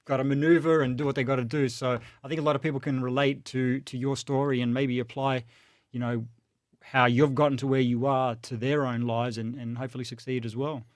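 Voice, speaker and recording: A man's voice: mid-range voice, flat delivery, a little fast, no echo, clean studio sound. A man co-hosting a podcast in casual chat, talking in an earnest, reflective way with many fillers. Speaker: podcast co-host.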